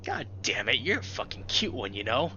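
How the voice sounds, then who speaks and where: A man's voice: mid-range voice, sing-song, normal speed, bright, forceful tone. A man voicing a huge, sadistic old male bear who talks in a menacing, crude, taunting way. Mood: cruel, excited. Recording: dull lo-fi audio with background noise.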